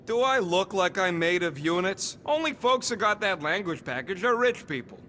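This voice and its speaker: A cocky little bounty hunter creature in a video game. He is irritable and slangy, with a sharp, forceful edge and pitch that jumps around a lot. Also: animated, normal speed, slight background noise. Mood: irritated, dismissive. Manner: brash, dismissive, slangy street talk.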